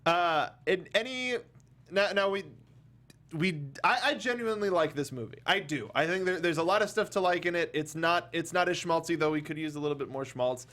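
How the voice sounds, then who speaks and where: A man hosting a casual film discussion, talking in a halting way and often restarting or repeating his phrases. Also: fairly high pitch, fairly bright tone. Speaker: talk show host.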